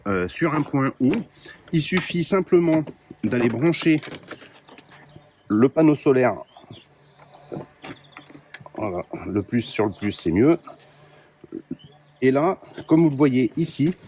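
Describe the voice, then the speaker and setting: A man casually presenting his radio kit to viewers, talking in a relaxed way with many pauses and fillers. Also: medium pitch, slight background noise. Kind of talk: casual hands-on gear demo.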